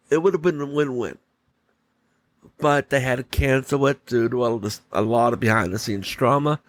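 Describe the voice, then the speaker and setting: A man hosting a podcast solo, giving casual, opinionated commentary in informal language. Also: mid-range voice. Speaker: podcast host.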